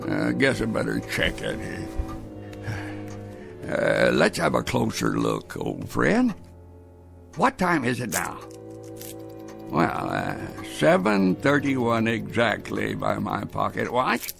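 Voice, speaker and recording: An actor playing a kindly, absent-minded old clockmaker grandfather in an audio drama, halting and fussy as he talks. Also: lowish voice, huge pitch swings, vocal fry, over music, heavily acted. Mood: weary, puzzled. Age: older.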